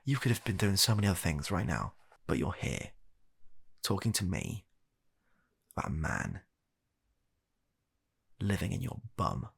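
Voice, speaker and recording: A man acts out a weary British doctor in a comedy ASMR roleplay. His deep voice is gravelly and swings widely in pitch. He sounds reluctant and exasperated, picking his way haltingly through deadpan, soft-spoken remarks. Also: exaggerated voice. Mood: sarcastic, weary. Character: weary, reluctant, baffled male doctor humouring an absurd patient.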